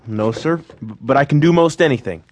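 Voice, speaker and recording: An actor playing a polite young drifter in an audio western, with an American accent and a deferential, slightly hesitant, plain-spoken manner. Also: male voice, a little high, brisk, lightly acted. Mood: pleading, earnest. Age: young adult. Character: polite, earnest young drifter seeking work. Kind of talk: audio western drama acting.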